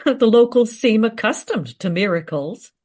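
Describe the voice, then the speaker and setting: An actress playing a confident, eager researcher in a radio play, recounting history in a teasing, matter-of-fact way. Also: female voice, fairly high pitch, animated, moderate pace, a little mellow. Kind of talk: radio play dialogue, storytelling.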